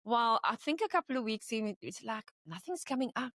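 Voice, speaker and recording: A woman's voice: a little high, lively intonation, brisk, a bit bright, no echo, studio quality. A middle-aged woman from South Africa, a guest telling her personal story with easy laughter and quoted asides.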